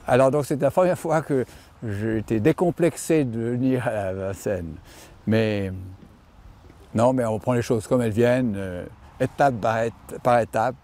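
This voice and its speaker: An older man who owns and breeds horses, answering interview questions with many pauses and restarts. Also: mid-range voice, darkish tone. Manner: hesitant, many fillers and restarts. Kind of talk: interview reply.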